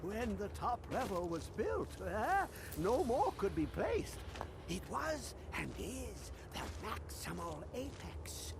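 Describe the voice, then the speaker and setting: A mad old scholar in a fantasy game. He rambles slowly and theatrically, his pitch swinging widely. There is clear noise around the voice. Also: very animated, exaggerated voice. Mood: feverish awe. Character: crazed, rambling old male scholar of ancient lore. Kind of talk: video game character acting.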